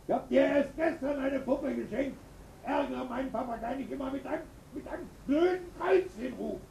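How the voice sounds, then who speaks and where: An actor in a radio play as an agitated, forgetful eccentric who mutters to himself and swings from cooing to scolding. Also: male voice, extremely high pitch, moderate pace, creaky, a little mellow, slight background noise, poor audio. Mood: irritated, scolding.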